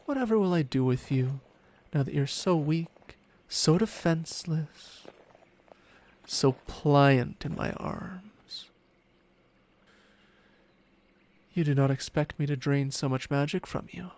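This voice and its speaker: A man's voice: fairly high pitch, measured pace, a little mellow, natural voice. A man playing a smug, possessive ancient dragon in human form, teasing and condescending in an intimate ASMR roleplay with formal, archaic phrasing. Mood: condescending, amused. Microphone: close microphone, spatial ASMR recording.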